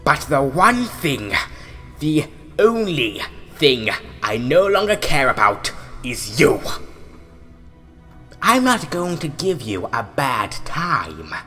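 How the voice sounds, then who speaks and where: Betrayed skeleton hero out for revenge, slow n dramatic, swings all over, cartoon dub. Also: male voice, mid-range voice, very animated, sharp tone, full character voice. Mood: vengeful, intense. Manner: theatrical, deliberate, dramatic pauses. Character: betrayed skeleton hero turned grimly vengeful, male. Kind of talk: dramatic comic dub monologue. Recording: music behind the voice.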